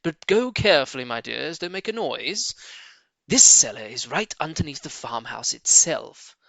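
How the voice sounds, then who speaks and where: Father fox, male reader performing, storybook: confident, suave, deliberate, with a highish, swooping voice. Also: very animated, gravelly, pushed and bright, no echo, full character voice, rough recording. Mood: pleased, cautioning. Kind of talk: storybook character voice.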